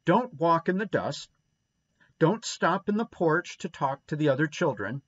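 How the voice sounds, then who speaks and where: A man's voice: a little high, big pitch swings, unhurried, voice pushed up. A man reading aloud and voicing a bossy older woman who sternly lists orders to children, on a dull lo-fi recording. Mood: scolding.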